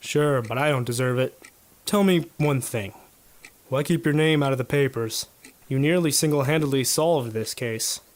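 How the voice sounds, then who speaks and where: An actor playing an earnest, modest police detective in a 1950s noir radio drama, with a plain-spoken, businesslike manner. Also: male voice, mid-range voice, normal speed, close to an everyday voice. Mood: friendly, grateful.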